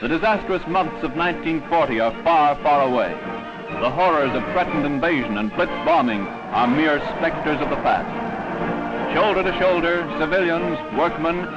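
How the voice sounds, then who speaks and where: A man's voice: on the high side, normal speed. A man narrating a wartime newsreel of the 1940s from a script, in a dramatic, declamatory manner. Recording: dull 1940s newsreel soundtrack. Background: music.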